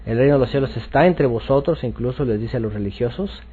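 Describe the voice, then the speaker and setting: A man's voice: medium pitch, breathy edge. Muffled male Bible teacher. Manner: halting, repetitive, explanatory. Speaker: religious teacher.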